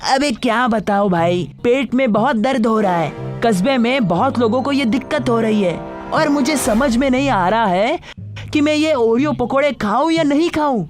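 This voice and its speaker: A woman voices a skeptical village man in a cartoon story. The voice is very high, plaintive and exclamatory, over faint music. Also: moderate pace, natural voice. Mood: plaintive, doubtful. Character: ailing, skeptical male village customer.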